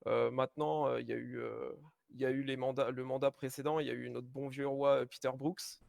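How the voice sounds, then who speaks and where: A man with a gravelly voice at middling pitch fields questions as a mayoral candidate in a casual roleplay. He talks frankly and confidently, yet stumbles through fillers and restarts. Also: level tone, fairly quick, a little mellow, no room sound, studio quality. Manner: hesitant, many fillers and restarts; frank, self-assured. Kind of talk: casual roleplay press conference.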